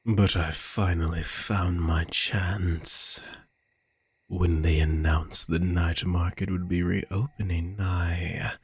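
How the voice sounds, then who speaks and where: Casting: male actor as possessive romantic vampire, low gravelly voice, courtly and menacing, intimate audio drama. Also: little pitch movement, no room sound, heavily acted. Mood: yearning, intense. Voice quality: husky, breathy, gravelly. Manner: slow, florid, archaic courtly speech. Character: obsessive lovestruck male vampire fortune teller, courtly and menacing. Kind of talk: scripted romantic audio roleplay, intimate monologue.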